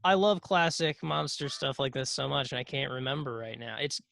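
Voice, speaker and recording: A man's voice: mid-range voice, animated, normal speed, vocal fry, a bit bright, no room sound. A man co-hosting a podcast in casual comedic chat, rambling and hesitant, with dry jokes. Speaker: podcast co-host.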